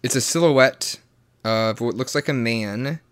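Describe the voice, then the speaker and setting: A man's voice: medium pitch, measured pace, a bit bright, smooth. Stammering man in his late twenties. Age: 20s. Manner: rambling, stammering restarts, sarcastic asides. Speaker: guest. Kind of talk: casual conversation.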